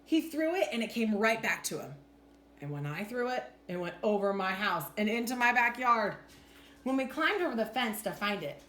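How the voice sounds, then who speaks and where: Animated woman reading in an echoey room. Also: mid-range voice. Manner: animated, clearly acts out lines. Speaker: storytime reader, teacher. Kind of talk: expressive storybook read-aloud. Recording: echoey room with faint background noise.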